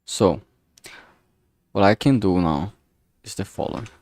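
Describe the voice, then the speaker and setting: A man's voice: sits low, unhurried, a little mellow, no room sound, studio quality. A man casually talking through his digital art work on a livestream, murmuring each step as he goes. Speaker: streamer making a visual novel. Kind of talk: casual livestream commentary while drawing.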